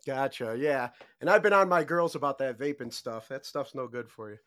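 A middle-aged man hosts a podcast in a relaxed, conversational way. His questions wander and he fills the gaps with "you know." The recording is close and dry, with no room sound. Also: medium pitch, moderate pace, no echo. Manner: loose, rambling questions with "you know" fillers. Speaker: podcast host. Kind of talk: casual interview chat.

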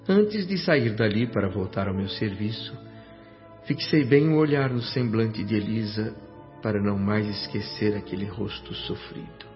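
Brazilian doctor guy narrating a radio play, low reflective solemn voice, remorseful, soft music behind. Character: remorseful male doctor narrating his afterlife memories. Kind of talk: scripted radio drama narration. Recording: dull lo-fi radio drama recording.

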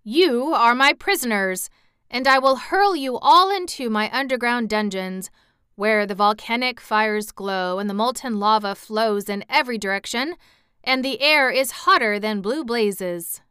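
Brief: woman playing a boastful tyrant king, raised and loud, crisp and bright. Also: a little high, expressive, a little slow, dry recording, studio quality. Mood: threatening. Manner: threatening, boastful. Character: blustering tyrannical little male king.

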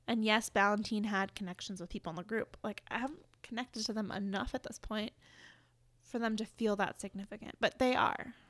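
A woman's voice: medium pitch, steady tone, brisk, fairly bright tone, no room sound. A woman co-hosting a podcast in casual chat, chatty and laughing often. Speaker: podcast co-host.

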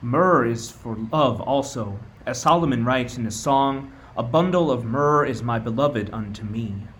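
A man acts a grave but tender wise man in a radio play. He speaks in a measured, formal way at a middling pitch. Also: expressive, fairly bright tone.

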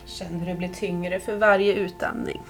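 A woman leading a guided yoga nidra meditation as instructor, calm, soothing and unhurried, with long pauses. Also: lowish voice, vocal fry, faint music behind.

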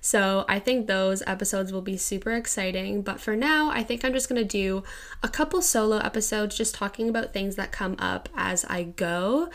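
A young woman in her twenties chats on her own podcast with an excitable, gushing energy, leaning hard on "like" and "literally". She records alone in a quiet room of her house on a home microphone. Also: mid-range voice, moderate pace. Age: 20s. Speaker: podcast host. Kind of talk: casual solo podcast chat.

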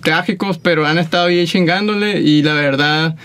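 A young man hosts a casual interview podcast. His voice sits fairly high, and he talks in a slangy, excitable way, full of exclamations. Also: normal speed, smooth. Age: 20s. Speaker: podcast host.

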